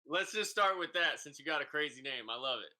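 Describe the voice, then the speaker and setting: A man hosting a casual podcast, excitable and hyping up his guest. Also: a little high, fairly quick. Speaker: podcast host.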